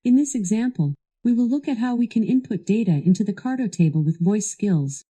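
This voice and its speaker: A woman's voice delivering scripted instructional narration as a voice assistant, in a measured, even and formal way. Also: medium pitch, breathy edge. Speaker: voice assistant guiding a product demo.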